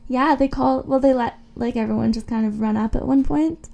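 A woman's voice: on the high side, fairly even intonation, a little fast, gravelly, dark tone. A woman co-hosting a radio show, chatting casually and informally about music between songs.

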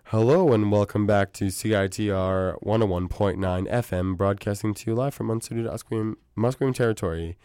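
A hoarse young woman with a scratchy voice, hosting a campus radio show in relaxed, chatty talk full of "you know". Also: very deep voice, moderate pace, few pauses, no echo. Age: young adult. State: hoarse from strained vocal cords. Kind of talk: casual radio chat. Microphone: studio mic.

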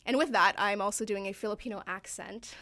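A woman's voice: medium pitch, a little fast, bright, forceful tone. Chatty young actress in her twenties. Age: 20s. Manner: chatty, laughs easily, lots of "like". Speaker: actor guest. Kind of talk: casual interview.

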